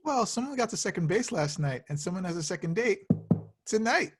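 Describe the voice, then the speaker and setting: A man's voice: high voice, lively intonation, fairly quick, music in the background. A man playing an awkward, sheepish young slacker in a comedy table read, talking in a casual, hesitant way. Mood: smug.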